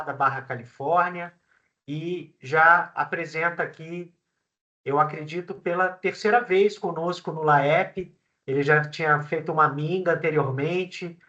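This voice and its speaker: Bright-voiced male professor on a dull, lo-fi call. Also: fairly high pitch. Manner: measured, with frequent fillers. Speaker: seminar host, professor. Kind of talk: formal introduction. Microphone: video call. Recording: dull lo-fi video call audio.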